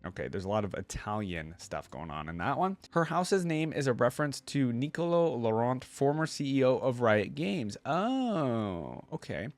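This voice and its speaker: A man's voice: medium pitch, sing-song, moderate pace, hardly any pauses, dry recording. A man giving casual, informal reaction commentary, thinking aloud as he goes with plenty of filler words. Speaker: reaction video host.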